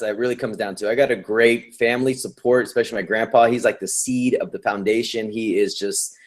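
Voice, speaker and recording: A male nurse being interviewed, talking in an upbeat, slangy and encouraging way. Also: lowish voice. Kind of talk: casual interview chat.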